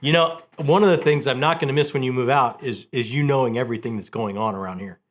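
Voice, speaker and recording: A man hosting a casual, enthusiastic book vlog, talking in an animated way with halting restarts and repeated words. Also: medium pitch.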